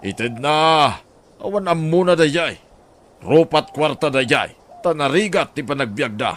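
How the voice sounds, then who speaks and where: An actor with an Ilocano accent playing a scheming, greedy middle-aged husband in a radio drama. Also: male voice, on the high side, expressive, unhurried, a bit bright, slight background noise. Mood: sly, calculating. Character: scheming greedy husband eyeing a poor neighbour's land.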